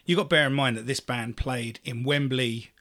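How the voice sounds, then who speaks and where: A man's voice: mid-range voice, fairly even intonation, normal speed, sharp tone. A man in his forties, a podcast co-host losing his voice, chatting casually and swearing now and then. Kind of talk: casual chat.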